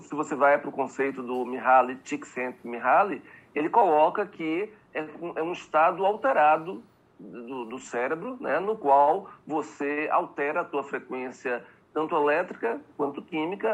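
A man's voice: a little high, poor audio. A man from Bahia, Brazil, answering interview questions as an expert guest, eager and explanatory, often checking in with tag questions.

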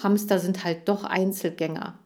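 A woman's voice: lowish voice, steady tone, normal speed, dark tone, lightly acted. A woman voicing a cheerful, lightly teasing young girl in a children's story. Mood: chatty. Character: cheerful young girl Kira who keeps hamsters.

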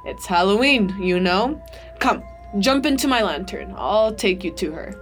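A woman's voice: medium pitch, lively intonation, a little slow, faint music behind, natural voice. A woman voicing a cheerful little male lantern sprite who talks in a friendly, chatty way. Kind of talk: storybook reading.

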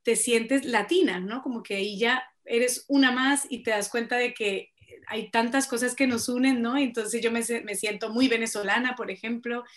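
A woman's voice: on the high side, steady tone, normal speed. A Colombian woman, a singer-songwriter, talking reflectively as a radio guest and laughing often. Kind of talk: radio interview.

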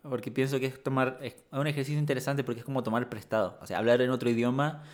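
A man speaking as a poet guest in a reflective conversation, thoughtful and halting with many restarts. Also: mid-range voice, steady tone.

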